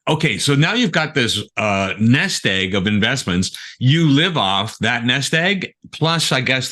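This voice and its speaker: Bright, lively male podcast host. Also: medium pitch. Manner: conversational, prompting the guest. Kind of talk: interview questions.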